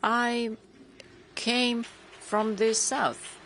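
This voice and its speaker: A woman's voice: a little high, fairly even intonation, very slow, fairly bright tone, slight background noise. A woman from Lebanon, asking a question at a forum in an apologetic, hesitant way. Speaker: audience questioner.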